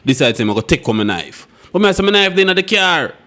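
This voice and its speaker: A man's voice: high voice, big pitch swings, pushed and bright. A Jamaican man speaking Patois in a casual group discussion, complaining and telling stories about his own troubles. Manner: quick, complaining storyteller.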